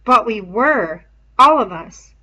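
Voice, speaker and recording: A woman's voice: a little high, expressive, slow pace, pushed and bright, heavily acted, poor audio. A woman voicing a frightened, guilt-ridden schoolboy leader in a storybook, speaking in a troubled, emphatic way. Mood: guilty. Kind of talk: storybook read-aloud character voice.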